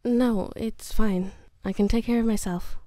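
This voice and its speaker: A woman playing a timid but independent teenage girl in a roleplay drama, soft and hesitant. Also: fairly high pitch, fairly even intonation, a little slow, no room sound, natural voice. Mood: reluctant.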